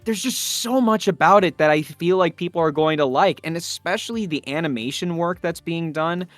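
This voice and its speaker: A man's voice: on the high side, normal speed, no room sound, faint music behind. A man talking enthusiastically in an interview as a voice actor, effusive and emphatic.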